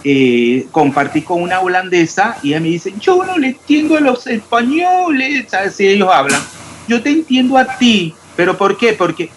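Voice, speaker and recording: A man teaching chemistry in an online class, quizzing his students and correcting their answers. He sounds out every consonant, even the final s, and his voice sits a little high for a man. A faint hiss runs under the recording. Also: moderate pace. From Panama. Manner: stresses clear diction, pronounces every s.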